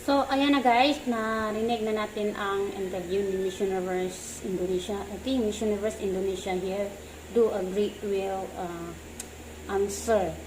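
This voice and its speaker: A woman with a Filipino accent hosting a casual reaction video, talking in a halting, repetitive way. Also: medium pitch, fairly even intonation. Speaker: pageant reaction video host. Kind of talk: casual vlog commentary. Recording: noisy, ambient room sound.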